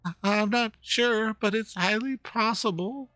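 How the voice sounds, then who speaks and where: A man acts out a frightened young ghost hunter in a fantasy game. The voice sits high and bright, hesitant and stammering, spooked by everything. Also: unhurried, no echo, low-quality recording. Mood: scared, stammering.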